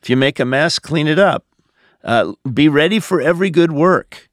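A man's voice: on the high side, lively intonation, moderate pace, no room sound. Dry-recorded male pastor giving advice. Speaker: pastor answering listener questions. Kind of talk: conversational podcast advice.

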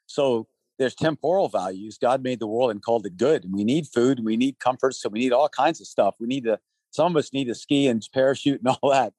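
A man's voice: mid-range voice, brisk, darkish tone, no room sound. Earnest guy in his 60s telling his story, lists points, little laughs, dry no-room sound. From Texas, raised in Thailand. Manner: earnest, lists points, chuckles between phrases. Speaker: guest, relief organization leader. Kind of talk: conversational interview answers.

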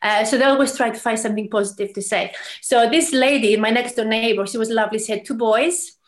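A middle-aged woman from Greece, a mission representative telling a story in a relaxed interview, animated and full of fillers and restarts. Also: on the high side, fast. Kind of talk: conversational storytelling.

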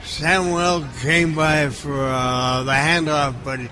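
An actor playing a jittery, strung-out drug dealer in an audio drama, stammering and groggy. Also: male voice, fairly high pitch, steady tone, slow, pushed and bright, soft background music.

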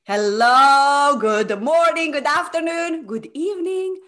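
A woman's voice: sits high, slow pace, fairly bright tone. A woman hosts a podcast on coaching and business. She talks effusively and leans on her words for emphasis. Her delivery suits both introducing a show and interviewing a guest.

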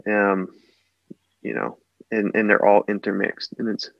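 A man in his twenties from Hawaii talks as a podcast guest, hesitant and searching, with many fillers and restarts. His voice sits very low with a gravelly fry. The sound is dry and a little dull and muffled. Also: level tone, measured pace, dry recording. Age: 20s. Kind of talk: casual interview answers.

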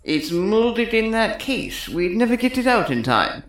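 A woman's voice: on the high side, big pitch swings, measured pace, echoey room. A woman playing a cynical, vain and condescending electrical technician in a farcical audio comedy. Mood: huffy, condescending. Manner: sarcastic, condescending.

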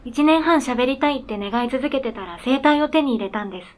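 Female actor as talking snowmobile, higher-pitched voice, earnest, courteous, formal, matter-of-fact, radio drama. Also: expressive, fairly quick, a little mellow. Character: earnest, polite talking snowmobile with its own identity.

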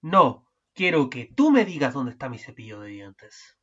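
A woman acting an exasperated, fussy husband in an amateur radio play, bickering and at times shouting. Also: extremely low pitch, very animated, moderate pace, slightly rough, full character voice. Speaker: student radio drama actor. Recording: muffled lo-fi recording.

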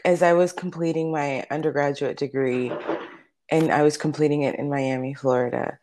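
A woman's voice: deep voice, steady tone, normal speed, darkish tone, slight background noise. A woman in her thirties, a native Spanish speaker, chatting informally as an interview guest and telling stories as an opera soloist. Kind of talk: casual conversational storytelling.

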